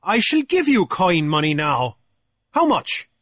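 Storybook chancellor played by a male narrator: smug, oratorical, challenging, high and swinging widely in pitch. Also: huge pitch swings, measured pace, a bit bright, no room sound, clean studio sound, full character voice. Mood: confident. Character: smug, triumphant male chancellor arguing before an island council.

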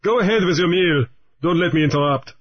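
A proud, sententious pirate with a pompous, formal way of speaking, as a male narrator voices him. The voice is husky and a little raised. The lo-fi recording sounds dull. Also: a little high, brisk, pitched above the performer's own voice, natural voice. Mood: haughty.

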